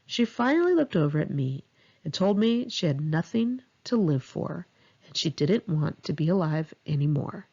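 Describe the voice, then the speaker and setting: Husky, creaky American woman reading memoir. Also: deep voice, big pitch swings, normal speed, warm tone, muffled recording. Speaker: author reading own memoir. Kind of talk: audiobook narration of a first-person memoir.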